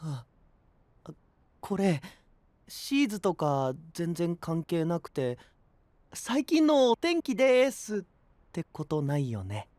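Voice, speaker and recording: A man's voice: fairly high pitch, sing-song, takes it slowly, pauses often, darkish tone, no room sound. An actor playing an easygoing, friendly young man with a light, casual manner in an anime audio drama. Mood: puzzled, light.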